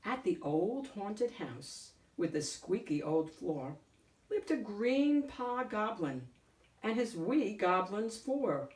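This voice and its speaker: A woman reading a picture book aloud as host, in a deliberate, theatrical storytelling way. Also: medium pitch, big pitch swings, darkish tone, recorded from a distance. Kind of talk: expressive picture-book narration. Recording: echoey room, off the mic.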